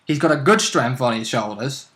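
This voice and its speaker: A man's voice: medium pitch, expressive, normal speed, fairly bright tone. A man co-hosting a casual tabletop game chat, explaining rules and characters in an informal, speculative, rambling way. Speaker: co-host and player in a tabletop role-play series.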